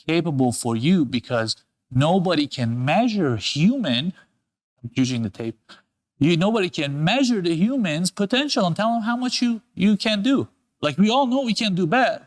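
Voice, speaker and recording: A man's voice: medium pitch, gravelly. Emphatic man coaching. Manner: earnest, repetitive, emphatic with rising bursts. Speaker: host and self-development coach. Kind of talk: motivational talk. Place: studio.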